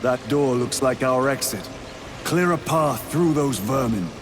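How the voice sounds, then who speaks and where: A man's voice: medium pitch, expressive, unhurried, music in the background, lightly acted. A man voicing a resolute squad leader. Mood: commanding. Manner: firm commanding orders. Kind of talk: video game cutscene acting.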